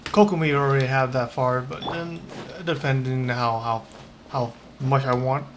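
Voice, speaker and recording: A man's voice: medium pitch, steady tone, moderate pace, a little hiss or hum. A man giving casual, rambling gameplay commentary as a streamer, hesitating and repeating his words.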